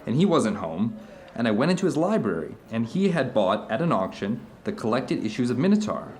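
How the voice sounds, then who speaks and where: A man's voice: mid-range voice, big pitch swings, brisk, darkish tone, slight background noise, lightly acted. An actor playing an introspective man who tells uncanny personal anecdotes to an old friend in an audio drama, rambling, earnest and emphatic. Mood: absorbed, amazed. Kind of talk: audio drama dialogue, conversational monologue.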